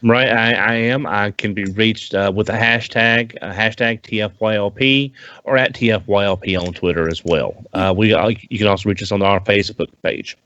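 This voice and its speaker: A man co-hosting a podcast, chatting casually in a halting way over a dull lo-fi connection. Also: fairly low pitch, fairly even intonation, fairly bright tone, no echo. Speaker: podcast co-host. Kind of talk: casual chat.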